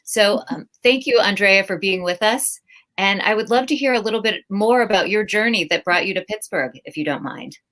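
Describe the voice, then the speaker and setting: Earnest bright-voiced woman moderator. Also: mid-range voice, normal speed. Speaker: panel moderator. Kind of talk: panel discussion.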